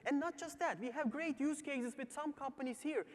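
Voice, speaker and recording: A man from Turkey with a Turkish accent, delivering an emphatic startup pitch on a theatre stage. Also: a little fast. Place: large theatre stage before live audience. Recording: long reverberant theatre hall.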